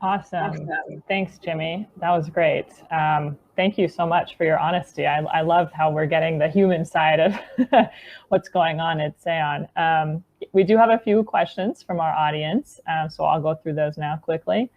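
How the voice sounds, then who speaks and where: A woman hosting a conference session, thanking the speaker and reading audience questions in a friendly, brisk way. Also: deep voice, fairly even intonation. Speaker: conference host, moderator. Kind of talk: moderating a Q&A. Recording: slightly dull, muffled audio.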